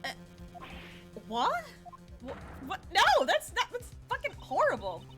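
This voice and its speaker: A woman's voice: very high voice, huge pitch swings, takes it slowly, fairly bright tone, soft background music. A woman streamer playing a story game, reacting expressively and informally to what she reads. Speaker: streamer playing and reading out a visual novel. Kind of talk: live game stream with reactions and read-aloud dialogue.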